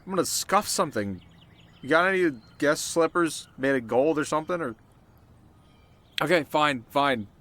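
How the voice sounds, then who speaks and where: A man's voice: medium pitch, a bit bright, a little hiss or hum, lightly acted. A man playing a cocky, teasing delinquent teenage boy in an ASMR roleplay, talking casually and wryly straight to the listener. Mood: awed, nervous. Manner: casual, wry, sarcastic, sometimes flustered. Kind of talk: ASMR audio roleplay, one-sided dialogue to listener. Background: music.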